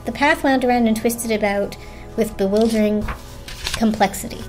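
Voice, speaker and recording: A woman's voice: on the high side, steady tone, moderate pace, low-quality recording. A woman narrating a children's story as a dramatic storybook reading. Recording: music behind the voice. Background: music.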